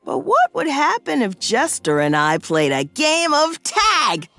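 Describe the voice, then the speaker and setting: Wildly animated, cheerful cartoon science professor. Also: female voice, high-pitched, no echo, full character voice. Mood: upbeat, explaining. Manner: exaggerated, sing-song, slow and clearly explanatory. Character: cheerful, excitable woman science professor for young children. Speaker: presenter of a children's science cartoon. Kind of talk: scripted educational cartoon narration.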